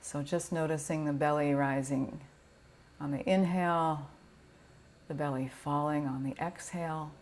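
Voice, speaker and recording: A woman teaching yoga nidra, guiding a relaxation meditation in a gentle, soothing, unhurried way with pauses. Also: low voice, steady tone, a little hiss or hum.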